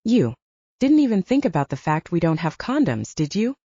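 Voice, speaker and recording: A candid young woman from an audiobook romance, acted out by a woman narrator. She teases playfully with animated intonation and a mellow tone. The recording is dry and close. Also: on the low side, normal speed, husky, dry recording, muffled recording, heavily acted. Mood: teasing.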